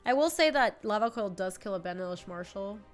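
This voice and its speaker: A woman's voice: mid-range voice, lively intonation, a little fast, soft background music. A young woman with a stuffy cold, chatting casually as she plays a card game on stream and answers her chat. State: congested with a cold. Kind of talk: casual live-stream commentary. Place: home streaming room, indoors.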